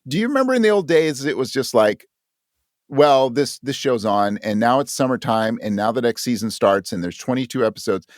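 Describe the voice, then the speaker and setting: A man's voice: medium pitch, lively intonation, brisk, dry recording, clean studio sound. A man speaking as a podcast guest in a casual interview, earnest and emphatic, often acting out imagined remarks. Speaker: podcast guest and audio drama creator.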